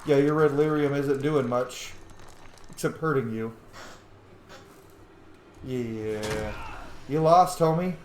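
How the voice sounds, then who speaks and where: A man's voice: medium pitch, slow pace, long pauses. A man giving casual, slangy, reactive commentary while playing a video game. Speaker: game streamer. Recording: roomy echo, faint noise.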